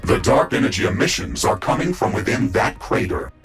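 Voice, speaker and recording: A man voicing a calm, loyal artificial intelligence in a video game, with measured, formal, analytical speech. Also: lowish voice, faint music behind. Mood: informative, calm. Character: calm, loyal male AI of a battle mecha suit. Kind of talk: scripted video game dialogue.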